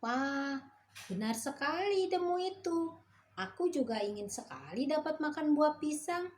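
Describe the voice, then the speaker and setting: A woman's voice: sits high, expressive, measured pace, slight background noise, voice pushed up. A woman voicing a kind, good-hearted frog who trusts his friend in a children's story. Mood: cheerful.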